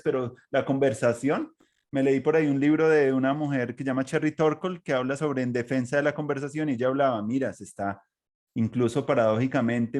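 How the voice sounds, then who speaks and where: A man's voice: mid-range voice, darkish tone. A man with a Colombian accent hosting a casual conversation, moving through long, loosely linked trains of thought. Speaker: interview host.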